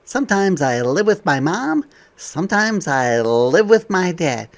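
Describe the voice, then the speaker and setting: A man reads a picture book to young children in a lively, swooping voice. He takes his time and has a creaky edge, mixing expressive reading with conversational explanation. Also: high voice, a little slow. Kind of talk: interactive picture-book read-aloud with explanatory asides.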